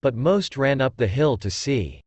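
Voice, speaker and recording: A man's voice: medium pitch, big pitch swings, measured pace, darkish tone, no echo. A man narrating a scripted story for children.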